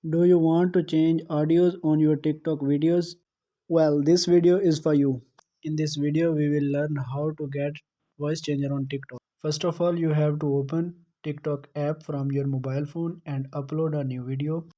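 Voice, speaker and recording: A narrator reading a scripted step-by-step tutorial in a plain, measured instructional way. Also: medium pitch, level tone, warm tone.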